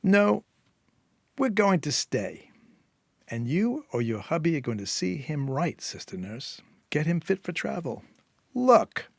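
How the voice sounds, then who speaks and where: Male reader as sarcastic, threatening woman intruder in audio fiction, bossy, raised pitch. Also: very animated, slow, creaky, no room sound, studio quality, heavily acted. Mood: commanding. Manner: taunting, bossy. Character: menacing, sarcastic, foul-mouthed female intruder.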